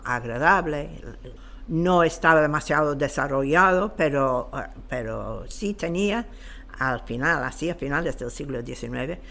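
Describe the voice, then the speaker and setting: Slow-speaking woman. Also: sits low, a bit bright. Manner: hesitant, halting, searching for words. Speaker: guest expert on local history. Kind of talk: unscripted explanatory talk.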